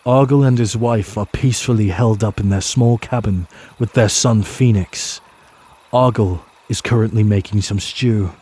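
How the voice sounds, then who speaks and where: A man narrating a scripted fantasy tale as storyteller, measured and solemn, with dramatic pauses. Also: on the low side, dark tone, slightly rough. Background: music.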